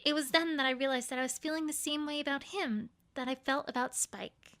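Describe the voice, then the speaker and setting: A woman's voice: high-pitched, steady tone, fairly quick, fairly bright tone. A woman voicing an earnest, bookish young female unicorn in a cartoon-pony story, explaining things carefully and a little formally. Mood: earnest, bashful.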